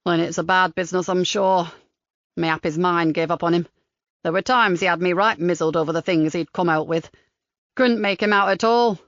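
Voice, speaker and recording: A woman voicing a chatty, kindly old countryman gardener with a broad Lincolnshire dialect, slow and lower than her own voice. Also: no room sound, natural voice. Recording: slightly dull dry recording.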